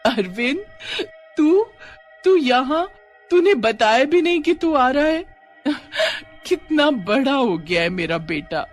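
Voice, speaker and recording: A man's voice: very high voice, big pitch swings, takes it slowly, soft background music, voice pushed up, full character voice. A man voicing an affectionate, worried middle-aged village mother in a cartoon story, speaking tenderly. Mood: overjoyed.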